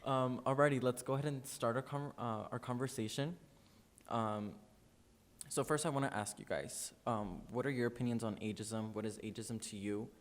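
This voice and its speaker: Nineteen-year-old man hosting a podcast. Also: normal speed. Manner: friendly, loose, many fillers. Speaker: podcast host. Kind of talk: casual student podcast chat. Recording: long reverb, faint rumble.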